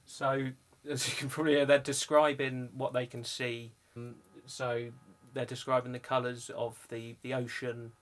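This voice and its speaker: A man's voice: mid-range voice, little pitch movement, moderate pace, hardly any pauses. A young man presenting a casual vlog in an informal, chatty way. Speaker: video channel host. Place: indoor music studio.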